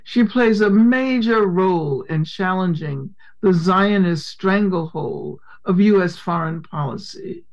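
A woman's voice: fairly low pitch, darkish tone. A woman formally introducing the main speaker at an event. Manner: measured, formal delivery. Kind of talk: read introduction. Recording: dull lo-fi sound.

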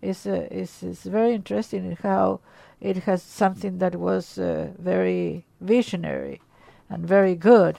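A woman's voice: lowish voice, fairly even intonation, moderate pace, vocal fry, warm tone, dry recording. A woman hosting a call-in radio show, speaking emphatically and often repeating words as she starts a thought. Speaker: radio host.

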